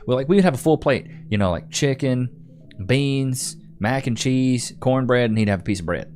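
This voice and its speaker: A man's voice: medium pitch, animated, normal speed, a little mellow, a little hiss or hum. A young man, hosting a live stream and chatting casually while reading comments, laid-back and rambling. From Texas. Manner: laid-back, rambling, repeats himself, says "bro".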